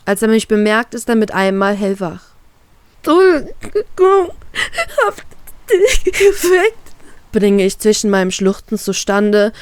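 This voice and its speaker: A woman reads her own dramatic story aloud, voicing it with feeling. Her pitch sits in the middle, and the recording is close and dry with no echo. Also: darkish tone, smooth. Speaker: fan fiction author and reader.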